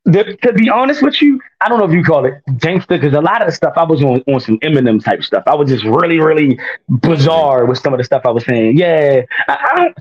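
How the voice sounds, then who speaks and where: A man's voice: on the high side, a little fast, husky, muffled recording. A man, a rapper telling his story in a casual interview and often laughing at himself. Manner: laughs often, self-mocking, lively. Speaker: guest rapper.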